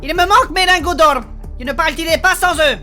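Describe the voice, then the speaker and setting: A man's voice: extremely high pitch, a little fast, bright, forceful tone, heavily acted. A man playing a greedy, crude, grumbling scout in a French comic fantasy audio drama. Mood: indignant. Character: Marco, foul-mouthed, greedy, whiny male scout. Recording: music behind the voice. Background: background music.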